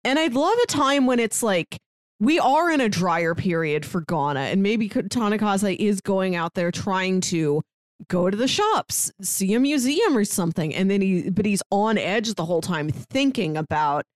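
A woman's voice: medium pitch, expressive, moderate pace, no room sound, clean studio sound. A woman co-hosting a manga discussion podcast, talking casually and slipping into little mock dialogues to make her points. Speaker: podcast co-host reviewing manga.

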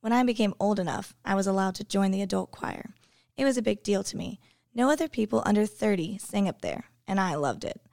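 Creaky-voiced woman giving a devotion. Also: mid-range voice, fairly quick, a little mellow, no echo, studio quality. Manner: earnest, reflective, storytelling. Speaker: devotion speaker, English teacher. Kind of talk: personal devotional reflection.

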